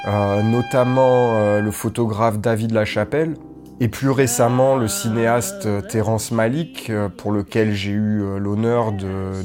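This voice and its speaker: A man from southern France, a film composer and sound designer, giving a halting testimony full of fillers and restarts. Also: fairly low pitch. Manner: hesitant, many fillers and restarts. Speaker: film composer and foley artist. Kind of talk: recorded testimony.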